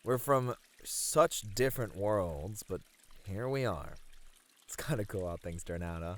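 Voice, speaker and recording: A man's voice: deep voice, lively intonation, measured pace. A man acting an easygoing, friendly manager unwinding after work in an ASMR roleplay, casual and lightly flirty. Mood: sincere, hopeful. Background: pouring, trickling water.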